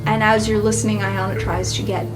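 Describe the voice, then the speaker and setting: Woman, tabletop game narrator, mid-pitched, measured and explanatory, over a strong music bed. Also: flat delivery. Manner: measured, explanatory storytelling with pauses. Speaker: game master. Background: background music.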